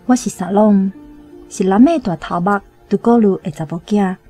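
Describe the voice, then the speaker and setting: A woman's voice: mid-range voice, moderate pace, mellow, slightly rough, faint music behind, natural voice. A woman with a Taiwanese accent playing a sorrowful young chieftain's daughter in a radio drama. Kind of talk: first-person radio drama monologue.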